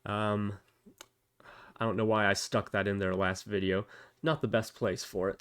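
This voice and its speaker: A man narrates a step-by-step software tutorial in a conversational style. His mid-pitched voice moves up and down in a lively way as he explains things. He often sounds like he is thinking aloud. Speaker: tutorial host. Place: indoors at a computer, voice-over for screen recording.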